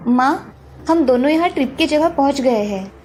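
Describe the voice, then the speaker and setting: A woman's voice: sits high, lively intonation, moderate pace, darkish tone. A woman playing a college-age elder sister, dutiful, prickly yet protective, in a cartoon story. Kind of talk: Hindi cartoon moral story dialogue. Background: music.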